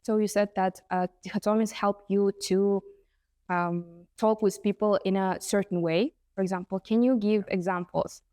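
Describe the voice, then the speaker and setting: A woman from Kazakhstan, a native Kazakh speaker, asks questions slowly and with some hesitation. Her voice is smooth and clear with a mellow tone, and the recording is close and dry. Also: medium pitch, fairly even intonation, no echo. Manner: careful, hesitant, with frequent filler sounds. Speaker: interview host. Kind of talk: interview questions in English.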